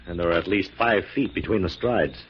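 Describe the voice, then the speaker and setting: An actor playing a confident, skeptical, protective detective in 1940s radio style, brisk and authoritative. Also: male voice, low-pitched, close to an everyday voice. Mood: firm, reassuring. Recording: muffled, noisy 1940s radio recording.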